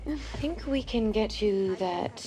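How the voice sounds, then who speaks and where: An actress plays a businesslike female sports agent in a game cutscene. She speaks in a mid-range voice with a measured, managerial manner, and music plays clearly underneath. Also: mid-range voice, expressive, warm tone, natural voice, poor audio. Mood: brisk. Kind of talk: naturalistic video game cutscene acting.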